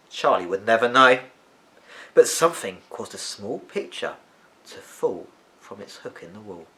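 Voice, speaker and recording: A man reading a children's story aloud as an expressive narrator. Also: lowish voice, rough recording.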